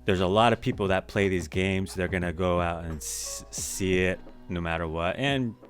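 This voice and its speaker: A man co-hosting a podcast, giving his opinions in casual, animated talk. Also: low-pitched, normal speed, faint music behind. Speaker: podcast co-host. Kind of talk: casual chat.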